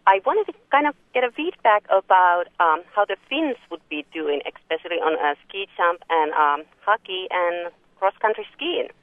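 A woman's voice: fairly low pitch, expressive, moderate pace, hardly any pauses, dry recording. Finnish-accented woman on a phone line. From Finland. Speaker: caller. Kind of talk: call-in question on radio.

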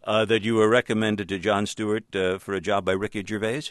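A man interviewing a comedian, asking his questions in a halting way with many restarts. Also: lowish voice, little pitch movement, dry recording, studio quality. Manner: halting, restarts, frequent fillers. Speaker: radio interviewer.

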